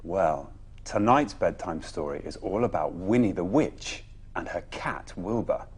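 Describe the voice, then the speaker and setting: Husky, very animated male bedtime storyteller. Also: low voice. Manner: dramatic pauses, emphatic phrasing. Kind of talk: expressive children's story narration.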